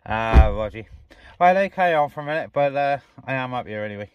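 A man's voice: medium pitch, lively intonation, fast, clear, resonant tone, dry recording, highs cut off. A man chatting casually as a vlogger and CB radio operator. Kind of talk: casual chat. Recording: noisy, radio hiss and clicks.

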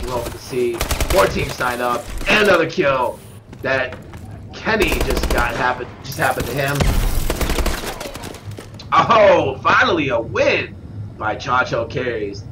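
A man with a stuffed-up nose, commentating live at a gaming tournament, getting excitable on big kills. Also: medium pitch, moderate pace. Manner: excitable, shout-outs to viewers, bursts of hype on kills. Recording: noisy with game gunfire behind.

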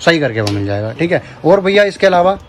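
A man's voice: a little high, very animated, fast. A man filming a casual vlog in a crowded shop, chatting with the seller and repeating his answers to the viewers. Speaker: vlogger. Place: crowded cooker shop, indoors.

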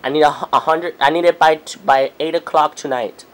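A young man speaking casually as a customer on a prank phone call, halting and repeating himself. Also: fairly high pitch, fairly even intonation, a bit bright. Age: young adult. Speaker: prank caller, video host. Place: small room at home.

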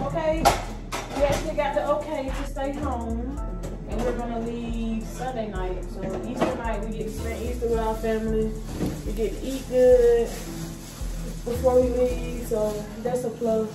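A woman's voice: on the high side, fairly even intonation, moderate pace. Chatty young woman in an echoey kitchen. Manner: chatty and playful, loose slangy talk. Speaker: vlog co-host. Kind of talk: casual chat to camera. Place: home kitchen, indoors. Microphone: camera on the counter. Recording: echoey kitchen with loud music.

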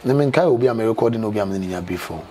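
A man's voice: fairly low pitch, animated, racing, dark tone. A Ghanaian-accented man, around his thirties, speaking emphatically as an interview guest and often repeating himself. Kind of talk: animated conversational interview, switching between two languages. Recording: clear background noise, ambient sound.

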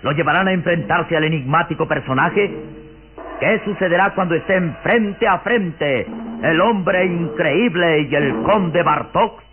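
A man's voice: on the high side, a little slow. A man narrating a radio serial in a dramatic, scripted style. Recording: dull lo-fi old radio, music behind.

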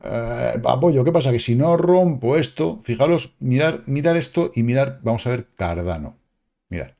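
A man, casually commenting on crypto charts in a lively, slangy way, with exclamations and sound effects, recorded at a home desk. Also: medium pitch, normal speed, creaky. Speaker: crypto market analyst. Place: home studio, indoors. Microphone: webcam framing, seated at desk.

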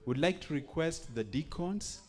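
A man's voice: fairly high pitch, lively intonation, a little slow. A man politely making a short church announcement to a gathering.

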